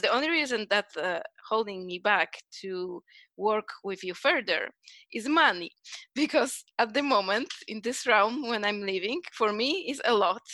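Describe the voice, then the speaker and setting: A woman's voice: mid-range voice, sharp tone, no echo. A woman from Poland with a Polish accent hosts a podcast, chatting casually and personally, often searching for her words. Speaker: podcast host.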